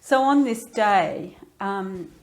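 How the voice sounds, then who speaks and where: A woman interviewing an older man, asking gentle, measured follow-up questions. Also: mid-range voice, expressive.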